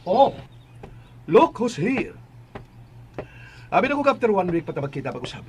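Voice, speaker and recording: An actor playing a smug, possessive hacienda heir in a Filipino radio drama, domineering and full of drawn-out taunts. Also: male voice, sits high, big pitch swings, a little mellow. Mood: mocking, commanding. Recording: music bed behind.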